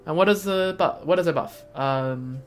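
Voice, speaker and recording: A man's voice: on the high side, moderate pace. A young man giving casual live stream commentary on a game, rambling and thinking aloud. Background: game music.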